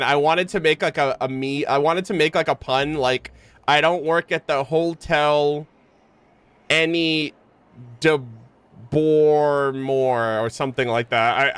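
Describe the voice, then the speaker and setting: A young woman commenting casually on a game livestream, with an excitable, jokey, informal way of talking. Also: deep voice, clean tone, dry recording. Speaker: streamer. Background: game music.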